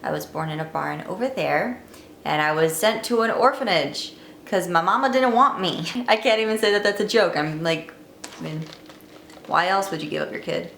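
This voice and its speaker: A woman's voice: fairly low pitch, normal speed, creaky, a bit bright, a little hiss or hum. A twenty-year-old woman vlogging, telling her life story to camera in a candid, wry, chatty way. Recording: echoey room, faint ambience.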